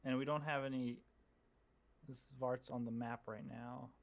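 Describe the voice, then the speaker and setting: A man playing a tabletop game, working out a plan aloud with many pauses and restarts. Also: mid-range voice, little pitch movement, creaky. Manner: halting, full of fillers and restarts while planning. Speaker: player.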